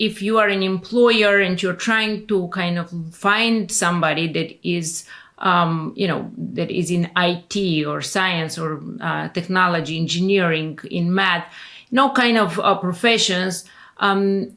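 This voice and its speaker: Gravelly-voiced woman from Bulgaria. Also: on the low side, a bit bright. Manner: hesitant, many fillers and restarts. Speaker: immigration attorney guest. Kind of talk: casual interview talk.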